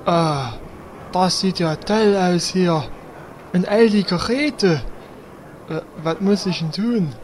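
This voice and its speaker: Casting: man doing dim, eager hero, very high male voice, halting and slow, comedy radio play with clear background noise. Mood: naive, eager. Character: naive, eager, awkward male fantasy hero. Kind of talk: comedy audio drama acting.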